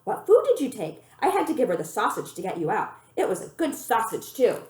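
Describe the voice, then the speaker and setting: A woman voicing a plucky, cheerful twelve-year-old street girl in a storybook, brisk and teasing. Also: fairly high pitch, huge pitch swings, a little mellow. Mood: exasperated. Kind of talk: storybook character read aloud. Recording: roomy, echoey room sound.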